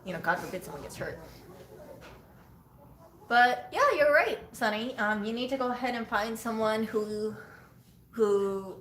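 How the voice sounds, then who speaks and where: A woman's voice: fairly high pitch, a little slow, long pauses, fairly bright tone, reverberant. A woman co-hosting a live stream, chatting casually and teasing her co-host, laughing often.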